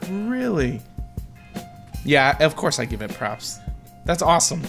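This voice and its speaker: A man's voice: a little high, big pitch swings, unhurried, creaky, a bit bright, soft background music. A man chatting casually as a podcast co-host. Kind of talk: casual chat.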